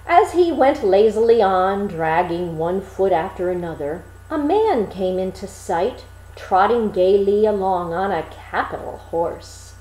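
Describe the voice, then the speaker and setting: A woman reading a fairy tale aloud as an expressive storyteller in a roomy-sounding space. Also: medium pitch, measured pace. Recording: roomy echo.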